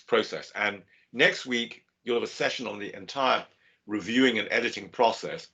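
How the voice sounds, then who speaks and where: A man giving a measured, steady webinar lecture over a video call. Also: on the low side, creaky, husky. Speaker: guest lecturer. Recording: dull lo-fi video call audio.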